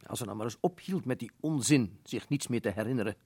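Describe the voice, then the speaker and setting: A man's voice: medium pitch, fast, a little mellow, breathy edge, no echo. A man playing a firm, matter-of-fact older prison governor in a radio play. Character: stern older male prison governor, a colonel.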